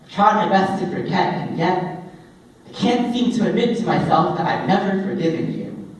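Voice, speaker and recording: A young man performing spoken word poetry live, with a dramatic, deliberate delivery and drawn-out phrases. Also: high-pitched. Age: young adult. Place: indoor open mic coffee house event. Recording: echoey live room, distant microphone.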